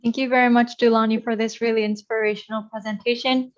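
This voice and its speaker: A woman's voice: fairly high pitch, little pitch movement, quick pace. Polite woman moderating, dull call audio. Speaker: webinar moderator. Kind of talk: hosting a webinar. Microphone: video call.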